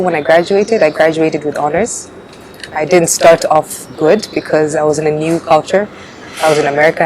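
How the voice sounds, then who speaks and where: A young woman in her twenties, born in Uganda, answering interview questions in a relaxed way with frequent fillers. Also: low voice. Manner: relaxed, laughs often, hesitant fillers. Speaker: pageant contestant guest. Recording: noisy background.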